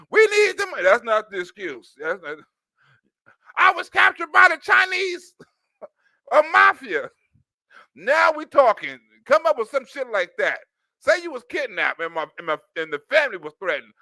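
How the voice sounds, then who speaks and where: A man giving loose, animated comic commentary on a clip, laughing often and acting out little scenes. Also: very high-pitched, moderate pace, no room sound. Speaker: streamer reacting to a news clip.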